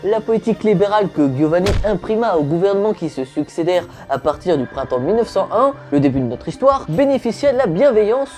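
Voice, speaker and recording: A woman's voice: medium pitch, animated, fast talker, few pauses, darkish tone, soft background music. A woman hosting a comic history explainer, casual and slangy with jokes. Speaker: host.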